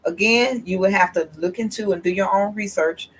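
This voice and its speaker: A woman hosting a casual livestream, talking slowly and emphatically with restarts. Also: mid-range voice, breathy edge, low-quality recording. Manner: slow, rambling and emphatic, with restarts and laughs. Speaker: host.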